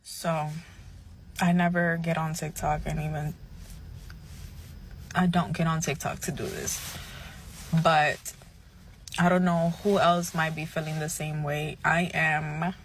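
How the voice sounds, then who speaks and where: A woman in her late twenties speaks very slowly in a hesitant, confessional vlog style. She sounds emotional and near tears, and there is light ambient noise behind the voice. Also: sits low, flat delivery, low-quality recording.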